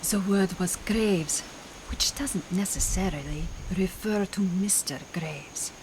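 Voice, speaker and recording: Slow, low, half-whispering woman as spirit medium. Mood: solemn. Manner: grave, deliberate, breathy half-whisper. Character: mysterious, solemn female spirit medium. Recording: faint noise, rain sound effects.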